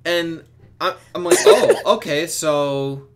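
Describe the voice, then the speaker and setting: A young man chatting and telling stories casually on a voice chat, in an animated, exasperated, sweary way. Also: fairly high pitch, slow pace, over a noisy crowd. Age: young adult. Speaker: streamer on a group voice chat. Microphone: computer mic on a voice chat. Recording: noisy voice chat with clicks.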